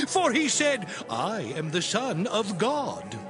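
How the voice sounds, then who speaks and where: Brief: scornful chief priest, high drawn-out taunts, far off over music. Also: male voice, wildly swooping pitch, heavily acted. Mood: mocking. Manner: mocking, laughing between taunts.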